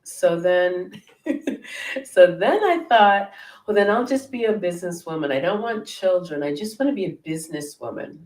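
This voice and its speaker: A middle-aged woman with a naturally deep voice, a guest hypnotherapist chatting casually and laughing at herself on a video call. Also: lively intonation, normal speed, darkish tone. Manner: self-deprecating, laughs often. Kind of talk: casual interview chat. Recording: roomy sound from far off the mic.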